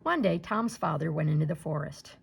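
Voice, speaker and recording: Gravelly woman reading a children's story. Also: low-pitched, animated, brisk. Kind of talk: children's story read-aloud.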